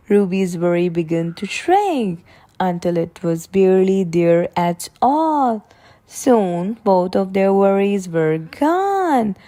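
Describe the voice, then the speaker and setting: A woman reading picture books aloud for young children in a deliberate, expressive way, drawing out her words. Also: mid-range voice. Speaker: children's story reader.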